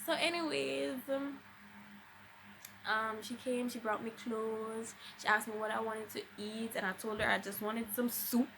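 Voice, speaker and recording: A woman's voice: a little high, steady tone, a little slow, creaky, fairly bright tone, slight background noise, low-quality recording. A young adult woman with a Jamaican accent, chatting casually and expressively as a vlogger telling a personal story, with emphatic conversational delivery.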